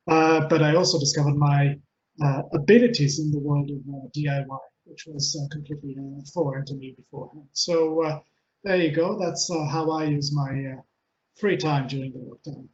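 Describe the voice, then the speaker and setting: Middle-aged israeli guy w/ a bit of texan and scottish in his accent, kinda high voice, lots of uhs, dull lo-fi audio w/ faint hiss. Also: steady tone, normal speed, darkish tone. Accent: Israeli, mixed with Texan, Scottish and London. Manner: earnest, many hesitations and fillers. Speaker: webinar speaker and coach. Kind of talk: presenting a pre-recorded webinar.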